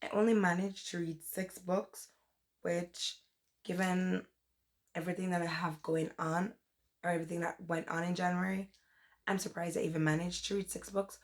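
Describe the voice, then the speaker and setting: A young woman whose voice is hoarse from a cold, chatting casually and rambling a little as she reviews books in a vlog. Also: fairly low pitch, level tone, moderate pace. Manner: chatty, rambling, says "like" and "um" often. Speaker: book vlogger.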